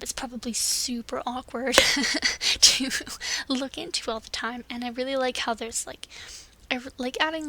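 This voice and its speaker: Stuffed-up young woman, bright chatty voice. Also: on the high side, slight background noise, low-quality recording. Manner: chatty and self-deprecating, laughs often. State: stuffed-up nose from a cold. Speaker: game builder narrating a speed build. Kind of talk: casual voice-over commentary.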